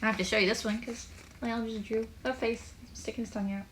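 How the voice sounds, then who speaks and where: A woman reading a children's book aloud as a teacher, in an expressive, animated way with chatty asides to young listeners. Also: on the high side, quick pace, slight background noise.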